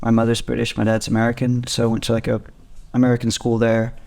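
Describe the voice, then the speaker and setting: A man in his thirties who grew up in Spain talks as an interview guest. His pitch is mid-range and stays very even as he tells his story in a casual, conversational way. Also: quick pace, vocal fry. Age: 30s. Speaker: podcast guest, gym co-founder.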